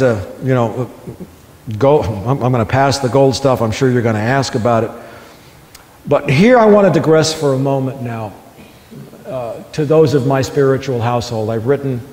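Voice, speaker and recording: A man's voice: mid-range voice, darkish tone, reverberant, big-room sound. A man giving a live talk, reading his own essay aloud to an audience with impassioned, building emphasis. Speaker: public speaker.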